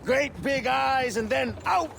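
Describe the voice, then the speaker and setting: A cackling gloating villain officer. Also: male voice, extremely high pitch, slow, gravelly, heavily acted. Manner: taunting, mocking laughs. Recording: game cutscene with loud background noise.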